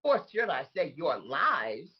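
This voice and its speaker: A woman acts out an aggrieved, dramatic disgraced crony in a comic table read. The voice is husky and swings widely in pitch. The audio is a little dull. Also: wildly swooping pitch, takes it slowly, heavily acted. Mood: exasperated. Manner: indignant, emphatic, dramatic. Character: Rudy, aggrieved, bewildered disgraced man trapped in woman's body. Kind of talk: comedic table-read acting.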